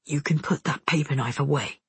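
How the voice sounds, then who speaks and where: A woman voicing a gentle, protective, remorseful young male soldier in a low, breathy hush for an audiobook. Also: steady tone, normal speed, dry recording. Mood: urgent, hushed.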